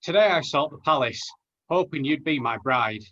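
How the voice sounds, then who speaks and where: Guy as earnest young panto hero, bit high pitched, reciting rhymes, muffled call audio. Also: lively intonation, normal speed, pushed and bright. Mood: rueful. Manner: declaiming rhymed lines earnestly. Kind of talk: amateur pantomime on a video call. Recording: dull lo-fi video call audio.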